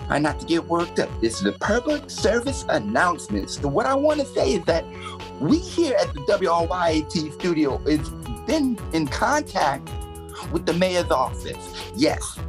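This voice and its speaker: A woman's voice: on the low side, sing-song, moderate pace, music in the background. A woman playing a lonely, overwrought local radio reporter, giving a rambling, emotive comic announcement. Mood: composing oneself. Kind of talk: comic public service announcement monologue.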